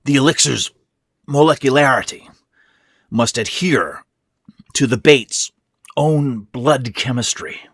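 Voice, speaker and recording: A man's voice: mid-range voice, slow pace, pauses often, no room sound, studio quality, pitched above the performer's own voice. A man voicing an exhausted, sick old man in a horror story, irritable and commanding, with hissing, growling, emphatic delivery. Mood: grimly insistent. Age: older. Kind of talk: dramatic horror fiction reading.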